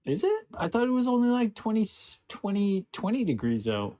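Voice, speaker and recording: A man's voice: big pitch swings, normal speed, mellow, dry recording. Brief: young man, stream host, teasing and profane, dry dull lo-fi sound. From Alberta, Canada. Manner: teasing, profane, jumps into high exclamations. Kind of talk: casual livestream banter.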